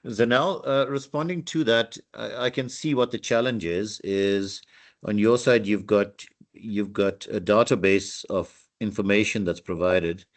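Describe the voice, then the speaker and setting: Man, lowish voice, measured and polite; meeting moderator on a video call. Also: steady tone. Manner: measured, polite, summarizing questions.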